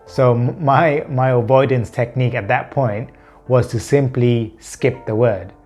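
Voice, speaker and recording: A man's voice: medium pitch, steady tone, a little slow, darkish tone, husky, faint music behind. A man in his thirties talking to camera in a personal vlog, earnest and open, stammering with repeated words and blocks left unedited. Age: 30s. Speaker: vlogger.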